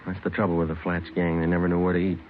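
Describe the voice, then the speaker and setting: An actor playing a weary, terse police sergeant in an old radio drama, with a clipped, matter-of-fact delivery. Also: male voice, deep voice, monotone, racing, lightly acted. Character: weary, terse male police sergeant narrating his case. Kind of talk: 1950s radio acting. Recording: noisy, muffled old radio recording.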